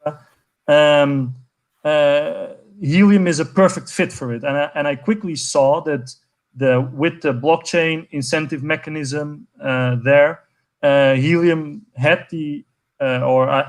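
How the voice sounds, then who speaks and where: A man in his twenties from Portugal, a guest speaking enthusiastically over a video call with many fillers and restarts. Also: a little high. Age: 20s. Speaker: guest, network co-founder. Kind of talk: conversational interview answer.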